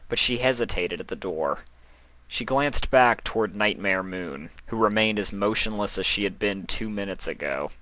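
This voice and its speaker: A man reading fan fiction aloud as narrator, in an expressive scripted storytelling style. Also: sits low, no echo, rough recording.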